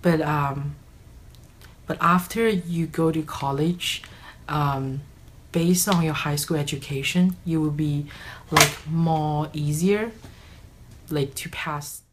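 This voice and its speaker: A woman's voice: sits low, steady tone, background noise. A young woman from China, a student answering interview questions hesitantly. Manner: hesitant, with many pauses.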